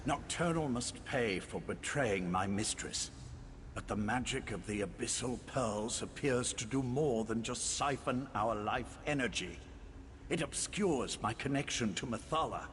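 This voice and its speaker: An actor playing a solemn male cultist devoted to a dark prince in a video game, formal, deliberate and earnest. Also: on the low side. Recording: hall reverb, clear background noise.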